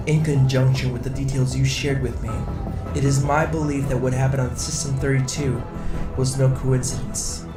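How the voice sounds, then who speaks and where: An actor playing an earnest, dutiful alien security officer with a formal, measured way of speaking in an audio drama. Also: male voice, medium pitch, far from the mic, lightly acted. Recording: roomy echo with music underneath.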